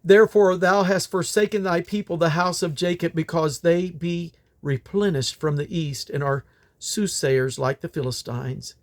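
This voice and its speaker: A man's voice: on the high side, moderate pace. A man reading Bible scripture aloud in old King James English, in a solemn, declamatory manner. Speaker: Bible reader.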